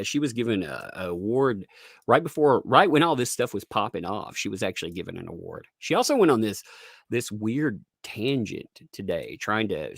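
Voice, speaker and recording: A man with a deep voice streaming casual commentary from home, profane and sarcastic, laughing often and thanking his chat. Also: vocal fry, breathy edge, no echo, studio quality.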